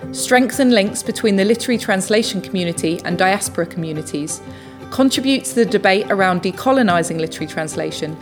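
A woman co-hosting a podcast, reading scripted announcements in an even, polished way. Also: medium pitch. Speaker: podcast co-host. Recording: faint music underneath.

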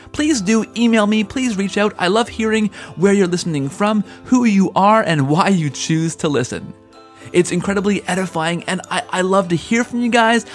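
A man speaking as a podcast host, effusive and thankful in his delivery. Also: sits high. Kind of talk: podcast interview and scripted sign-off.

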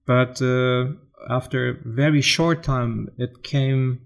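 A middle-aged man with a German accent talks in a relaxed interview, his voice sitting in an ordinary middle register. He stumbles and repeats words as he hunts for the right English phrasing. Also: mid-range voice, takes it slowly, creaky. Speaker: guest, photographer. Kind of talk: casual interview chat.

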